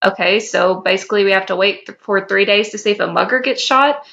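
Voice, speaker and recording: A woman reading the part of a skeptical, guarded man in fan fiction, doubtful and measured, on a slightly dull lo-fi mic. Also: medium pitch, gravelly, pushed and bright.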